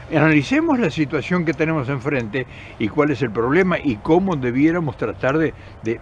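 A man's voice: fairly high pitch, dull audio, a little hiss or hum. An older man with an Argentine accent talking straight to camera outdoors, earnest and emphatic, often restarting and repeating words. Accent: Argentine Spanish. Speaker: political commentator. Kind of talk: impassioned direct-to-camera commentary. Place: outdoors in countryside by forest path. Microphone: camera at close range.

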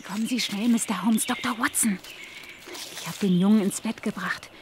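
An actress playing a polite, earnest and anxious young governess in a radio play. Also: female voice, medium pitch, normal speed, background noise, lightly acted. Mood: hurried, conspiratorial. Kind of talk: German audio drama acting.

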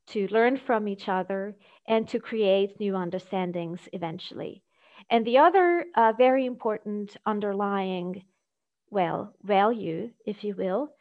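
A woman giving an academic presentation over a video call, speaking in a measured, hesitant way with halting phrasing. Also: medium pitch. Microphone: video call microphone. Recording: dull, lo-fi video call audio.

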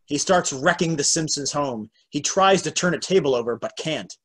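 A man's voice: a little high, expressive, normal speed, a bit bright, slight background noise. A middle-aged man narrating stage directions for an amateur table read on a video call at home. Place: room at home.

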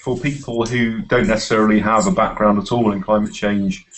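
A man's voice: fairly low pitch, fairly even intonation, brisk. A middle-aged man leading an informal tutorial on a video call as course tutor, hesitant and encouraging. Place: small office, indoors.

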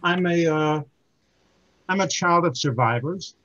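A man in his seventies, born in Germany and raised in the United States, giving an informal talk to a community group in a halting way, with many restarts and fillers. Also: fairly high pitch, fairly bright tone, lo-fi sound. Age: 70s. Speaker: book reviewer giving a talk.

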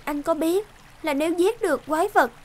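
Very high, eager young princess. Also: female voice, fairly quick, a little mellow. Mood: teasing, urging. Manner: eager, insistent. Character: plucky, pleading young princess in fairy tale. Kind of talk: animated fairy-tale dubbing. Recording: music behind the voice.

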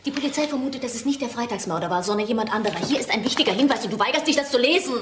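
A woman's voice: a little high, lively intonation, very fast, noisy background, close to an everyday voice. Echoey, determined, secretive woman played by an actress. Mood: insistent, urgent. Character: determined, secretive mistress investigating her murdered friend. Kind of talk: German crime radio drama acting.

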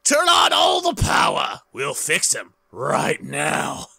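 Gravelly, raging gangster villain. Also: male voice, on the high side, wildly swooping pitch, slow pace, no room sound, studio quality, voice pushed down, exaggerated voice. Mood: commanding. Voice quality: gravelly smoker's rasp. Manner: loud, overblown bluster. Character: raging male gangster villain, scheming, blustering.

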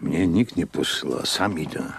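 Very deep, slow old innkeeper. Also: male voice, lively intonation, vocal fry, breathy edge, heavily acted. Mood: quietly resolute. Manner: solemn, measured. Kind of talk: Polish radio drama narration and monologue.

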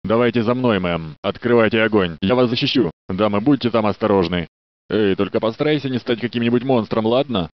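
A man's voice: fairly low pitch, brisk, dry recording, natural voice. Man doing a polite security guard, dry dub. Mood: friendly. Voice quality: steady, courteous, a little flirtatious. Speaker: security guard in a research facility. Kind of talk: Russian game dub voice lines. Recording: dry, dull studio dub.

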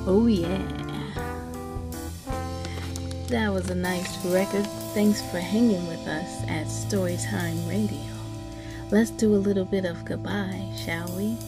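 Young woman reading rhythmically over jazz, unhurried. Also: on the low side, steady tone. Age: young adult. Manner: playful, measured, stressing the beat words. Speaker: story time radio host reading a picture book. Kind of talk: rhythmic children's picture book read-aloud in radio DJ style. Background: jazz music.

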